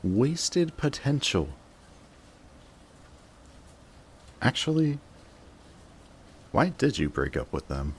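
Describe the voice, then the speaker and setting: A man's voice: mid-range voice, very animated, slow, a little hiss or hum. A man playing a sarcastic, jaded supervillain in a scripted roleplay audio drama, with a dry, taunting way of talking to an old rival. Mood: mocking, animated.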